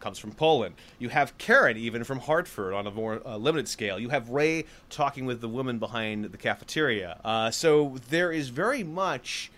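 A man's voice: medium pitch, expressive, normal speed, hardly any pauses, fairly bright tone. A man hosting an interview, asking long questions that wander and hedge before reaching the point. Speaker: interviewer host.

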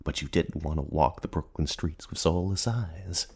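A man performing a radio play as a merciless, taunting inner narrator, speaking in literary second person with theatrical, accusing emphasis and a husky voice. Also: a bass voice, moderate pace, a little mellow, exaggerated voice. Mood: bleak, dramatic.

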